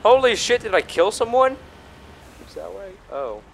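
A man's voice: sits high, very animated, normal speed, creaky, a little hiss or hum. A man giving rambling video game commentary, swearing freely and hamming it up theatrically. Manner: foul-mouthed, theatrical, breaks into mock shouts. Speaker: host of a video game playthrough.

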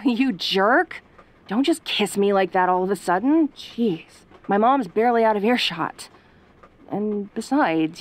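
Woman voicing a young tomboy girlfriend, mid-range voice, sassy, sarcastic, easily embarrassed, defensive stammering, audio roleplay. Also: medium pitch. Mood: flustered, affectionate. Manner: sarcastic, halting, bashfully defensive.

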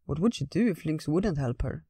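Woman narrating a fairytale audiobook, low pitch, close dry sound. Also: expressive, normal speed, dark tone, husky, dry recording. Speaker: storyteller. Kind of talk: gentle scripted fairytale narration.

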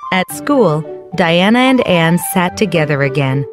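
A woman giving scripted narration for a children's story in a clear storytelling delivery. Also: fairly low pitch, very animated, measured pace, over music.

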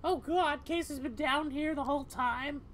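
Man doing a squeaky, anxious young woman. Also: moderate pace, a bit bright, slight background noise. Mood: horrified. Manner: squeaky falsetto, horrified.

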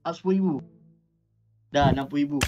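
A man's voice: a little high, fast, dull audio. A young man with a Malaysian accent chatting casually as he streams a game. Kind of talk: casual livestream chat. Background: game music.

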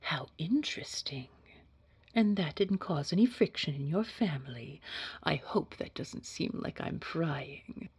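A woman playing a secretive corporate boss in an audio drama, interviewing in a measured, formal, insinuating way with a breathy, whispery voice. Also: low-pitched, animated, full character voice. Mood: probing, intrigued. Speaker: job interviewer. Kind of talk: scripted audio drama dialogue.

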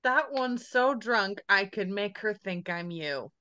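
A woman's voice: mid-range voice, lively intonation, slow, pushed and bright, smooth, dull audio. A woman playing a brash, bawdy party girl in a screenplay table reading over video call.

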